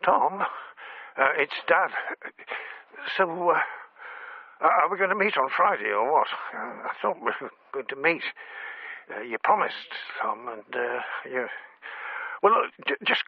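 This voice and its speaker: Needy, hesitant father, radio drama; creaky, faltering delivery, thin telephone sound. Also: on the high side, big pitch swings, no room sound, exaggerated voice. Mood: pleading, awkward. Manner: halting, faltering. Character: needy, hesitant dad pleading with his son. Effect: telephone filter. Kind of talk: radio drama acting, phone message. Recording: tinny, phone-like voice message.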